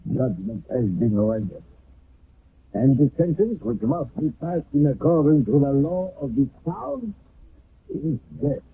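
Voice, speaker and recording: Stern village judge guy, solemn and formal, husky voice, tinny dry old radio sound. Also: on the high side, very animated, unhurried, no echo, heavily acted. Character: stern male village leader presiding as judge.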